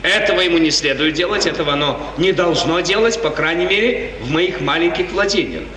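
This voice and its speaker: A man's voice: fairly high pitch, lively intonation, fairly quick, sharp tone. An actor playing a restless, lovesick prince in 1950s radio theatre, musing aloud theatrically and repeating his phrases. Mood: animated, gracious. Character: restless lovesick prince of a small feudal state. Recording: old noisy recording, echoey hall, distant.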